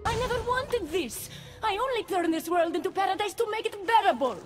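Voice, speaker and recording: A woman's voice: very high voice, expressive, a little fast, over music. An actress playing an imperious, wounded goddess queen in a video game cutscene, with a commanding, theatrical delivery. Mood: haughty, wistful.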